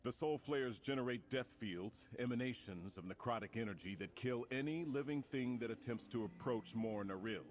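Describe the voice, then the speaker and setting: A man's voice: mid-range voice, moderate pace, hardly any pauses, natural voice. A man voicing a confident, commanding warrior prince in a fantasy video game, speaking formally and rousingly. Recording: prominent music behind the voice. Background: game music.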